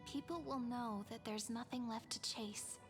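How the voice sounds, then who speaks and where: Earnest young game narrator, slightly high voice, tender, measured, music behind. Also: female voice, steady tone. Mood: tender, wistful. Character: wistful young female storyteller, tender and earnest. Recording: music over the voice.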